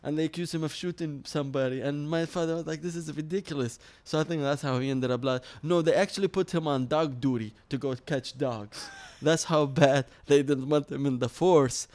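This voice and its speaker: A man's voice: on the high side, fairly even intonation, moderate pace, few pauses, darkish tone. Earnest man telling his story. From Iraq. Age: 30s. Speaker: interview guest. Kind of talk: personal storytelling in an interview.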